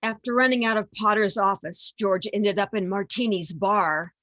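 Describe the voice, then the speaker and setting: A woman's voice: mid-range voice, normal speed, noisy background, natural voice. A woman playing a watchful senior angel who explains and guides in a radio play. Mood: explaining, urgent.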